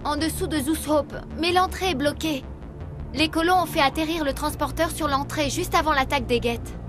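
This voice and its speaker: A woman plays an earnest young female researcher weighed down by guilt in a video game. Her voice is high and anxious, with music clearly underneath. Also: moderate pace, lightly acted. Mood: remorseful, anxious. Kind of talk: dramatic French game dub acting.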